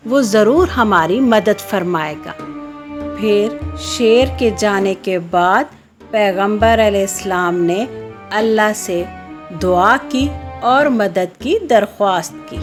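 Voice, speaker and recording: A woman narrating a scripted children's story in a measured, expository way. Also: mid-range voice. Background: background music.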